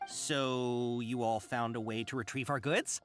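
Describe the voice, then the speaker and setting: A man's voice: medium pitch, fairly even intonation, unhurried, fairly bright tone, faint music behind, lightly acted. A man playing an anxious, deferential caravan merchant in a video game, hesitant, apologetic and eager to please. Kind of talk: video game character acting.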